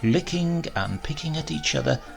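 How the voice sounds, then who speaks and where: A man reading a literary crime tale aloud as a dramatic, expressive narrator. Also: fairly high pitch, moderate pace, gravelly, faint music behind. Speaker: story reader.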